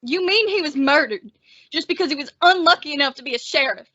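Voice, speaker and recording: A woman's voice: high-pitched, animated, fast, fairly bright tone, no room sound, lo-fi sound. A woman playing a timid, bookish young man made sheriff, with a hesitant, stammering, anxious manner, in an old-time western radio drama. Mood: bitter. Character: timid, bookish young male sheriff, nervous insect collector.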